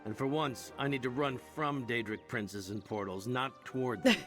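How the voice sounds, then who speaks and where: An actor as a wry, troubled adventurer. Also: male voice, medium pitch, moderate pace, music in the background, lightly acted. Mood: rueful, resolved. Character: troubled, self-sacrificing male adventurer, wry and rueful. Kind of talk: fantasy video game dialogue.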